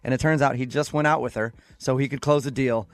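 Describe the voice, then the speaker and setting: Fast-talking, teasing male radio host. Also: mid-range voice, steady tone, no room sound. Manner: teasing, jokey. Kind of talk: joking radio banter and interviewing.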